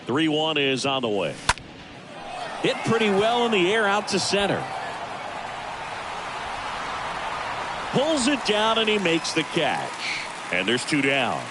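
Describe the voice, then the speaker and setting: A man's voice: on the high side, huge pitch swings, unhurried, a loud crowd, heavy background noise. A man playing a baseball play-by-play announcer, calling the action in a video game's sports commentary. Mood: excited.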